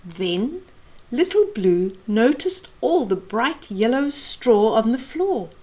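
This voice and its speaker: A middle-aged woman reads a picture book aloud for small children. Her fairly high voice swings up and down as she goes slowly, pausing to explain the pictures. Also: a little mellow. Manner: deliberate, explaining pictures to young listeners. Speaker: children's storytime host. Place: small indoor room.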